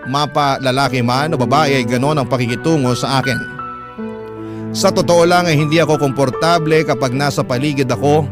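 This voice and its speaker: A man with a Filipino accent narrating a scripted first-person story in dramatic radio style. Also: fairly high pitch. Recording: voice over loud music bed. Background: music.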